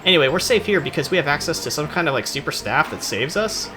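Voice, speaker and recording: A man commentates on video game cutscenes in a rambling, irreverent way. His pitch swings widely and his talk is slangy and sarcastic. Game music plays behind him. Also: animated, quick pace. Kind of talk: rambling joking commentary over game cutscenes, acting out dialogue in skit voices.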